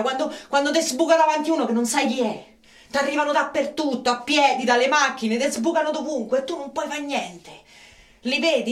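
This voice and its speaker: Weary, embittered Roman woman, roomy sound. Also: sits high. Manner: colloquial, halting. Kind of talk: radio drama acting. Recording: roomy, distant, noisy microphone.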